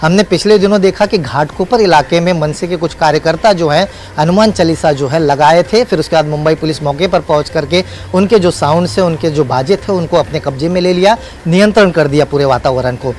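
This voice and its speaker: A man's voice: fairly high pitch, a little fast, few pauses, darkish tone, slight background noise. A man reporting live as a news correspondent, speaking unscripted in emphatic, repetitive phrases with hesitant fillers.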